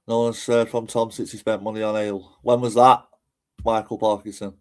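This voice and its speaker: A man's voice: lowish voice, level tone, moderate pace, a little mellow. A man giving casual, rambling livestream commentary in a chatty, informal way. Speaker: streamer and host.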